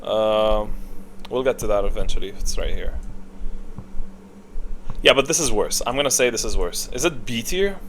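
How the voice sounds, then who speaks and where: A man doing casual, unscripted tier-list commentary, opinionated and halting as he thinks aloud. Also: fairly low pitch, expressive, fairly bright tone, a little hiss or hum. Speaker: video creator, gaming commentator.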